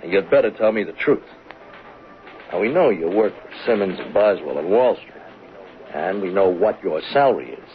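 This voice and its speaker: An actor playing a stern, relentless police lieutenant, pressing and accusatory, in 1970s radio drama style. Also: male voice, low voice, lively intonation, normal speed. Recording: tinny band-limited noisy 1970s radio recording.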